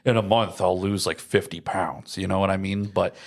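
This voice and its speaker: A man's voice: low voice, fairly even intonation, moderate pace, gravelly. A young man. He talks casually, like a podcast co-host among friends. His delivery rambles from one anecdote to the next with plenty of slangy asides. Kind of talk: casual chat.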